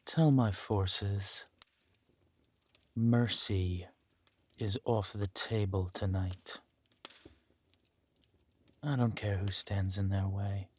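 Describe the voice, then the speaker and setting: A man playing a ruthless, vengeful warlord in an audio roleplay, speaking deliberately and with menace. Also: lowish voice, steady tone, darkish tone, dry recording. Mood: cold menace. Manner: slow, deliberate, menacing delivery. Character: ruthless villain warlord lord, vengeful, possessive lover. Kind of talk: dramatic villain roleplay acting.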